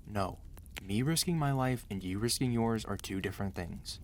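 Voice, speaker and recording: A male actor plays a mafia boss husband with a fairly low voice, devoted and protective. He talks close and intimately in an ASMR romance roleplay, earnest and measured, firm yet gentle with his beloved. A faint noise sits behind the voice. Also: animated. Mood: firm, pleading.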